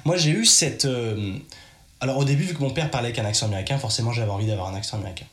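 French guy chatting straight at you, mid pitch, roomy echo. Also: rapid-fire, fairly bright tone. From France. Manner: chatty, direct, addresses listener informally. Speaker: podcast host. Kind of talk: casual advice monologue.

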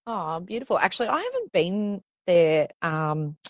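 A woman's voice: lowish voice, very animated, normal speed, a little mellow, no echo, lo-fi sound. An Australian woman hosting a podcast, bubbly and enthusiastic, reading her intro and then chatting with a guest. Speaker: podcast host. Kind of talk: scripted intro then casual chat.

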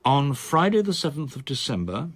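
An actor playing a reserved solicitor in his sixties in a radio drama, with a measured, formal, precise manner. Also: medium pitch, sing-song, slightly rough, dry recording. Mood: wistful, confessional. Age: 60s. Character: reserved older male solicitor, in his sixties, quietly confiding.

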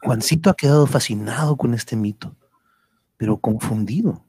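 A man with a husky voice narrating a scripted radio drama as a storyteller. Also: mid-range voice, moderate pace, warm tone, no room sound, clean studio sound.

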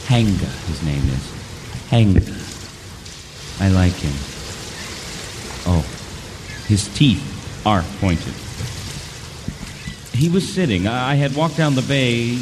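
An actor playing a thoughtful, sceptical visitor to an island in an audio drama, speaking in hesitant, broken phrases. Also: male voice, lowish voice. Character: thoughtful, sceptical visitor named Baden, narrating his island experiences. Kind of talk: audio drama acting and first-person narration. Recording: long reverb, ambient noise behind.

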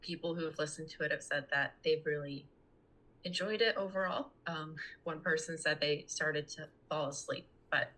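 Young female podcast guest, low-pitched with a raspy edge, earnest, conversational delivery. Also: moderate pace, bright, forceful tone, poor audio. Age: young adult. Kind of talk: casual conversational interview talk.

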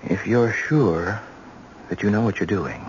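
An actor playing an earnest, informal hospital doctor with a hesitant, wry manner in an old-time radio drama. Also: male voice, fairly low pitch, lively intonation, a little slow, slight background noise. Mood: earnest, uneasy. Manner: hesitant, plain-spoken, wry. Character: earnest young hospital surgeon, informal and persuasive. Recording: muffled old radio recording.

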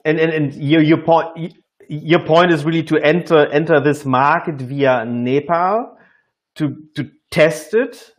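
A man with a slightly high voice leads an informal business discussion. He speaks in a halting way, often repeating a word several times before he completes the sentence.

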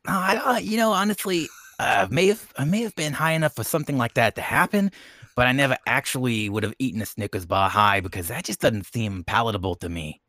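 A man's voice: mid-range voice, a little fast, pushed and bright, no room sound, clean studio sound. A man co-hosting a podcast in casual chat, rambling and often circling back to repeat himself. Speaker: podcast co-host.

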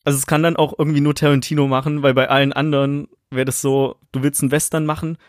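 A young adult man co-hosting a casual film chat in a relaxed, thoughtful, slightly wry way. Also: fairly high pitch, fast, dry recording, studio quality. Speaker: podcast co-host.

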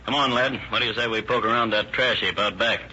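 An actor playing a shrewd, skeptical police detective, crisp and authoritative in his questioning, in an old radio drama. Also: lowish voice, fast, sharp tone. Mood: businesslike. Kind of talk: 1940s radio acting. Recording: old lo-fi radio recording with hiss.

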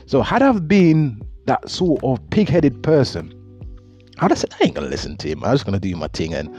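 Man, mellow husky voice, faint music. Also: medium pitch, very animated, a little fast. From Jamaica. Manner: earnest, repeats words, loose grammar. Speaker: motivational speaker. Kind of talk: reflective monologue. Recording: dull lo-fi audio with faint music.

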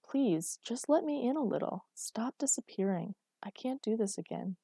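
A woman's voice: a little high, lively intonation, normal speed, creaky, mellow, husky, no room sound, studio quality, pitched above the performer's own voice, heavily acted. A woman voicing an angry, controlling but protective and exasperated man in a dramatic story reading. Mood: pleading.

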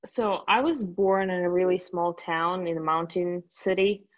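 A woman in her late twenties from Russia with a Russian accent, a guest telling stories in a relaxed way with many fillers. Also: lowish voice, unhurried, dull audio. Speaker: guest, company founder. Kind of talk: interview answers.

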